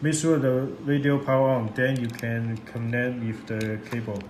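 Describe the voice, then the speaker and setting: A man demonstrating a product in a step-by-step tutorial, speaking halting, repetitive non-native English. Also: mid-range voice, level tone. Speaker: product demonstrator for a radio seller. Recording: echoey room, loud background noise.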